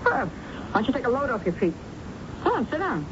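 A woman's voice: high-pitched, very animated, fairly quick. An actress playing a brash, defiant, uneducated young working-class woman in a radio drama, with casual, slangy speech. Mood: flustered, casual. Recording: muffled, noisy old radio broadcast recording.

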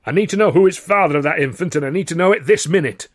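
A jovial, touchy middle-aged impresario, voiced by a man reading a story. He hems and haws, then snaps, his pitch leaping about. The voice is a little husky and close and dry. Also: big pitch swings, moderate pace, dry recording. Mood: angry. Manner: blustering, hesitant then snapping.

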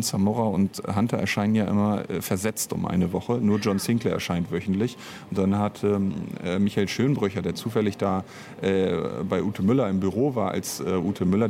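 A man's voice: fairly low pitch, vocal fry, dark tone. A man talking casually as an interview guest, explaining at length and often restarting his sentences. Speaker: interviewed audio drama author and director. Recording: noticeable background noise.

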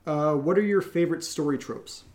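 A man with a somewhat high-pitched voice chats as a podcast co-host. He is informal and unscripted. His delivery is wry, teasing and self-mocking. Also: normal speed, a little mellow. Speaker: co-host of an audio drama podcast reading listener questions. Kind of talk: casual unscripted Q&A chat.

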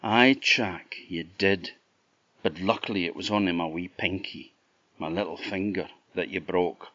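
A man speaks as a kind young lassie from a folk tale, in a low voice with a Scottish accent. The manner is reassuring and gently scolding, in a dry, slightly muffled recording. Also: unhurried, no room sound, full character voice.

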